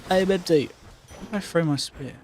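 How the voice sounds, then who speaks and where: A man's voice: fairly high pitch, moderate pace, darkish tone. Sweary man muttering through live gameplay. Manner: muttering, sweary, terse reactions. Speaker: game streamer. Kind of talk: casual live gameplay commentary to himself. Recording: faint background noise.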